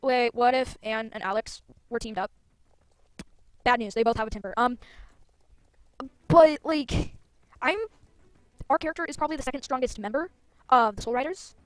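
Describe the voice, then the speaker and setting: A woman's voice: on the high side, normal speed, pauses often, dry recording. A woman giving casual gameplay commentary, chattily thinking aloud as she plays. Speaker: gamer narrating own play.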